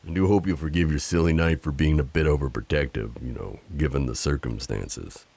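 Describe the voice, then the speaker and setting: A man playing a loyal, teasing, overprotective knight in an improvised romantic audio roleplay, speaking tenderly and courteously with a gravelly voice. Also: rumbling low, brisk, a little mellow, exaggerated voice. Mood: teasing, protective.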